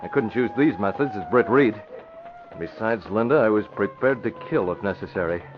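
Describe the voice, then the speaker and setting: An actor playing a resolute masked crime-fighter and publisher, firm and commanding, in 1940s radio drama style. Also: male voice, fairly low pitch, brisk, music in the background, lightly acted. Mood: urgent, commanding. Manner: firm, commanding, clipped orders. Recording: muffled, hissy vintage radio broadcast.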